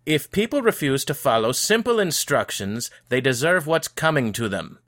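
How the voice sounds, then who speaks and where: Grumpy old shopkeeper wizard, voiced by a male narrator, irritable and dismissive, sharp edge to the tone. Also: medium pitch, big pitch swings, normal speed, voice pushed up. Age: older. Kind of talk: audiobook character voice.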